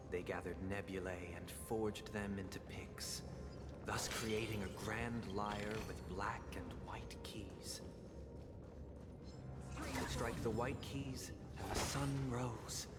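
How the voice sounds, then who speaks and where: An actor playing a composed, grandly formal stage-play host and antagonist in a video game, with a measured, solemn delivery. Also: male voice, on the low side. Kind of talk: theatrical scripted game narration. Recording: long reverberant hall, music behind.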